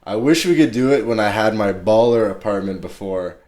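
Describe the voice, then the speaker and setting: A man's voice: fairly low pitch, expressive, brisk, a little mellow. A man hosting a podcast in a casual, laid-back chat, talking in slangy everyday English. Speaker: podcast host.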